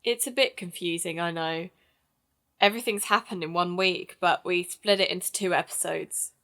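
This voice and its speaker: A woman's voice: on the low side, normal speed, a bit bright. A woman playing a young amateur-detective podcaster in an audio drama, speaking in a natural conversational way. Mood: embarrassed, casual.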